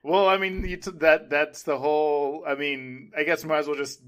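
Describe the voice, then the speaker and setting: Higher-pitched enthusiastic man chatting. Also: steady tone, moderate pace, clean tone. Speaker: podcast co-host. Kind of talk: casual fan discussion.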